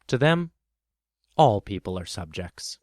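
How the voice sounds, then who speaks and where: A man narrating a children's tale as a podcast storyteller, with expressive, measured and dramatic phrasing. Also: lowish voice, no room sound, clean studio sound.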